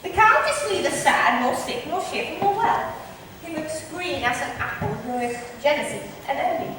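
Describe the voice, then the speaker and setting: A female actor as a high-voiced mocking noblewoman, echoey. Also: expressive, moderate pace. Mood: dry, teasing. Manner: teasing, sardonic. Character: witty, mocking young noblewoman who scorns husbands. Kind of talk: amateur stage acting, school drama club. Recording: distant echoey hall, clear background noise.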